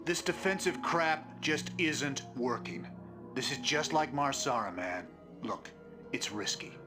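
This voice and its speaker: A man playing a gruff, sarcastic, hot-headed rebel space captain with a Southern American drawl in a video game. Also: medium pitch, animated, unhurried, lightly acted. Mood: exasperated, urgent. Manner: drawling, blunt, sardonic. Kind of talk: video game cutscene acting. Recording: loud music behind the voice.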